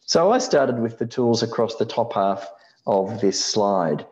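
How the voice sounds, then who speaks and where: A middle-aged man presents a technical tutorial in a measured, practical manner. His voice sits fairly low and is very soft-edged and dark in tone. The recording is dull and lo-fi, lacking top end. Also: animated. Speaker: presenter of an electronics building tutorial. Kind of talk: explanatory slide talk.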